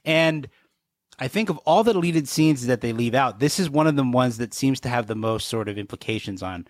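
Guy co-hosting a chatty podcast, medium pitched voice, really dry recording. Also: lively intonation, a little fast, no echo. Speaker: podcast co-host. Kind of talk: casual podcast chat.

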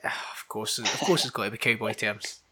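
A man co-hosting a podcast, teasing his co-host with quick asides and laughing as they chat. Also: lowish voice, a crowd in the background. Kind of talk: casual chat.

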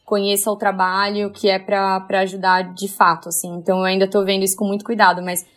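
A woman guest in casual chat on a video call, telling stories with a slightly hoarse voice. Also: mid-range voice, fast. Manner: chatty, laughing, animated storytelling.

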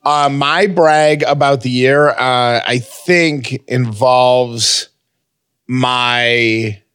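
A man's voice: mid-range voice, steady tone, very slow, a bit bright, clean tone, no echo. A man co-hosting a podcast, chatting casually and personally. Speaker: podcast co-host. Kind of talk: casual banter and personal talk.

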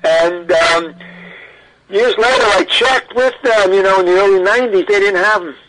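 A man's voice: high-pitched, moderate pace, fairly bright tone, a little hiss or hum, low-quality recording. An older American man telling his story conversationally as an interview guest, stressing points emphatically. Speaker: interview guest, audio archivist.

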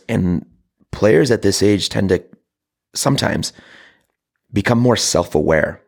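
Hoarse hockey coach guy rambling thru advice, really raspy, kinda low. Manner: rambling, with many fillers and restarts. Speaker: hockey coach and podcast host. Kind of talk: casual advice talk.

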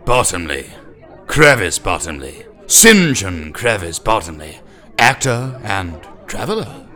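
Pompous brit, low voice swinging all over, guy doing it. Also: sing-song, takes it slowly, pushed and bright, faint music behind, a faint crowd, full character voice. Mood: haughty. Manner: pompous, haughty. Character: pompous posh male actor, lecturing.

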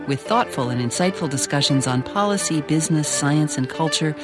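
A woman's voice: low voice, animated, fairly quick. A woman announcer reading a polished, upbeat scripted promo voice-over. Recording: voice-over over music.

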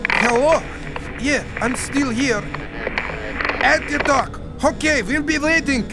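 An actor playing an anxious but ruthless Bosnian immigrant with an Eastern European accent, terse and blunt, in an audio thriller. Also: male voice, extremely high pitch, slow pace, heavily acted, poor audio. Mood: urgent pleading. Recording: music behind the voice.

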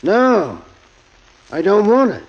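A man puts on a nervous young clerk in a vintage radio play, his voice pitched very high and his words slow and halting. The sound is dull and lo-fi, like an old broadcast. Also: huge pitch swings, breathy edge, heavily acted. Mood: hesitant. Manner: halting, hesitant, high strained voice. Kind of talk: 1940s radio acting.

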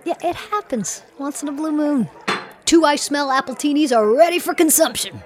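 Breezy, teasing bartender. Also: female voice, sits high, animated, normal speed, crowd noise. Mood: wry, supportive. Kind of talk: naturalistic audio drama acting.

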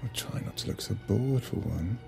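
An earnest, middle-aged husband in an audio drama, trying hard at romance. His voice has some creak and sounds halting and pleading, with music clearly behind it. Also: lowish voice, animated, mellow. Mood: pleading, awkward. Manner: halting, self-deprecating, pleading. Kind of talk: naturalistic audio drama acting.

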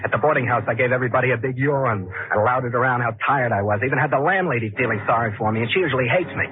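A man's voice: mid-range voice, rapid-fire, slight background noise, natural voice. An actor playing an anxious, scheming man plotting murder, confiding colloquially in 1940s radio suspense style. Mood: confiding, tense. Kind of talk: 1940s radio suspense acting, first-person narration. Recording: muffled 1940s radio, music underneath.

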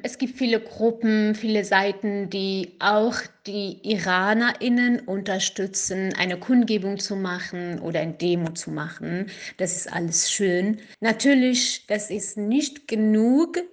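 Slow, hesitant woman. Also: mid-range voice. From Iran. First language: Kurdish. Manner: hesitant, searching for words, frequent fillers. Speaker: interviewee, activist. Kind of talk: interview answers.

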